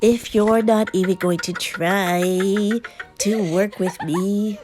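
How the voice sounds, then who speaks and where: A woman's voice: mid-range voice, steady tone, slow pace, a little mellow, soft background music, close to an everyday voice. A woman voicing a big, scruffy boy monster in a children's storybook, drawling and whiny as he tries to sound terrifying. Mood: whiny, huffy.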